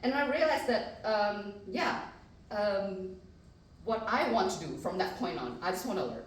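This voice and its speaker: A woman's voice: mid-range voice, expressive, measured pace. A middle-aged woman from Indonesia with an Indonesian accent, a maths professor telling her story on a panel in an earnest, chatty way with many fillers. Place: festival panel room. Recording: echoey room, distant mic.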